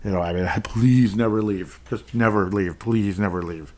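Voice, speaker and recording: A man's voice: lowish voice, fairly even intonation, normal speed, darkish tone. A man hosting a sports podcast on his own, chatting casually and rambling as he thanks listeners by name. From Minnesota. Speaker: podcast host. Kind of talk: casual solo podcast chat.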